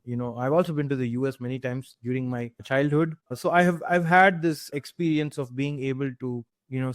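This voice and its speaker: A young man with an Indian accent talks at length as an interview guest, leaning on fillers and restarting his sentences. His voice sits at an ordinary middle pitch. The sound is dry and close, with no room around it. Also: dry recording. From grew up in Nigeria. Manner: talkative, many fillers and restarts. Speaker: guest entrepreneur. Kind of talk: conversational interview.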